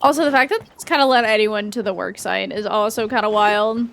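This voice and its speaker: A woman's voice: mid-range voice, fairly quick, gravelly, fairly bright tone. A young woman streamer giving casual gameplay commentary in informal, rambling asides. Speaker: game streamer.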